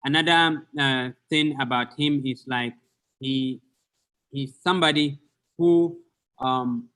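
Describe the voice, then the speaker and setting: Halting man with a bright voice. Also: fairly high pitch, steady tone. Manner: halting, searching for words. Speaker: guest presenter. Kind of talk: informal presentation with shared videos. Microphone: video call.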